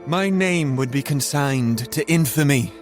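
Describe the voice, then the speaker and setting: An actor playing a tormented scientist, recounting his horror in a halting, grandiose theatrical monologue. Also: mid-range voice, faint music behind, natural voice. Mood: haunted. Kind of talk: theatrical audio drama monologue.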